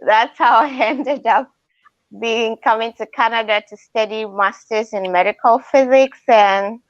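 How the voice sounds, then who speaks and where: A Ghanaian woman in her thirties talks over a video call, slow and calm. Her voice is fairly high, and the audio is dry and a bit dull. She speaks softly and laughs lightly now and then. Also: dry recording. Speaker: guest. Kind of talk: casual video interview.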